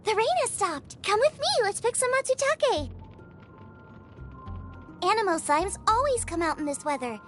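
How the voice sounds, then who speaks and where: An eager teenage girl chef from a video game. Her voice is extremely high and bounces widely in pitch, chatty and cheerful, with music playing clearly behind her. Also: big pitch swings, normal speed, pauses often. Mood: perky, eager. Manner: perky, chatty, enthusiastic. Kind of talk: video game character voice lines.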